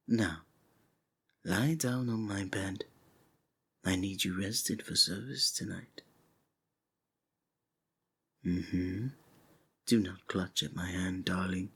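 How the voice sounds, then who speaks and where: A man playing a sinister, seductive cult preacher in an intimate ASMR roleplay, husky and breathy, often sinking into whispers, with archaic, formal endearments. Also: low-pitched, full character voice. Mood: ominous, seductive.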